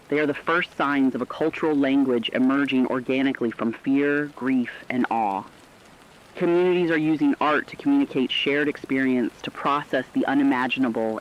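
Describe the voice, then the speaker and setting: A man playing a solemn, measured radio news anchor delivering formal scripted commentary during an apocalypse. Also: mid-range voice, natural voice. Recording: dull lo-fi audio with rain noise.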